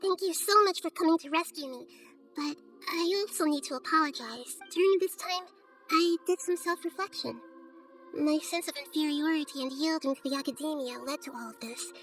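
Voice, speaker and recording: A woman voicing a gentle, wise, humble little girl deity in a video game, with a soft childlike voice and thoughtful, polite manner. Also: high-pitched, normal speed, faint music behind. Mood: gentle, apologetic.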